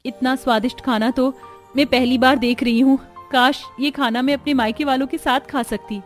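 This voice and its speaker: A humble young bride acted by a woman in a cartoon family story, high in pitch, earnest and anxious. Her words are deferential, with faint music behind. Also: fairly even intonation, normal speed, natural voice. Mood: wistful.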